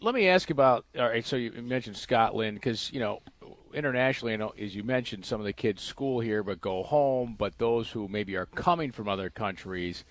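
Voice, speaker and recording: A man's voice: fairly low pitch, expressive, brisk, few pauses. A man co-hosting a radio show, chatty and jokey, asking long rambling questions. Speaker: radio co-host. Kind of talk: casual interview chat.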